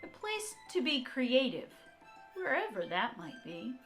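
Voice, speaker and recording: A woman art teacher reading aloud and talking to young children in an encouraging, expressive, direct way. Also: sits high, measured pace, music in the background. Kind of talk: children's read-aloud and talk to young kids.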